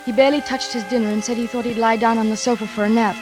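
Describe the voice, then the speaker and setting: A woman's voice: fairly high pitch, fairly even intonation, very fast, over music, lightly acted. An actress playing a dutiful, lonely young librarian who tells her own story in a 1940s radio drama. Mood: wistful, somber. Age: young adult. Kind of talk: 1940s radio drama first-person narration. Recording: vintage 1940s radio recording.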